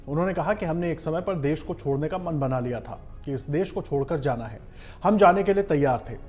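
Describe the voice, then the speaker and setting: A man's voice: a little high, a little mellow. A man reading scripted news narration as a presenter. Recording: music behind the voice. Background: background music.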